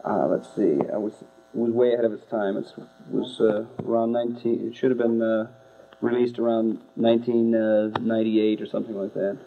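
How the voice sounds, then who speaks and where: A man in a rock band answering interview questions with deadpan jokes, halting and full of uhs. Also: on the low side. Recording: tinny phone-like lo-fi audio with hum.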